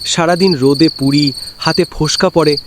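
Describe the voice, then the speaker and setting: A woman's voice: low voice, steady tone, moderate pace, close to an everyday voice. A woman voicing a worried, weary farmer father, in a cartoon. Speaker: cartoon voice actor. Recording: loud cricket and insect noise.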